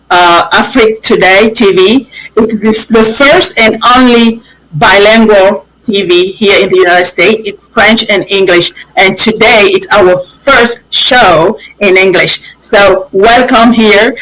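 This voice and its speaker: A woman's voice: mid-range voice, a bit bright, low-quality recording. A woman hosting a live television interview. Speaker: TV show host.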